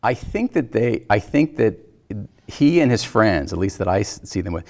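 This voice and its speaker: A man in his fifties with a low voice that moves up and down a lot. He talks casually, as a guest discussing a movie, restarting his phrases as he goes. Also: very animated, vocal fry. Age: 50s. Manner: halting, repeats and restarts phrases, often chuckles.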